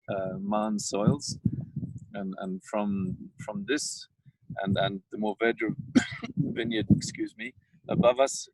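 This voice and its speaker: A man with a South African accent, a winemaker talking casually and haltingly with many fillers while standing outdoors in a vineyard, tired and coughing now and then. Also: fairly low pitch, creaky, slight background noise, poor audio.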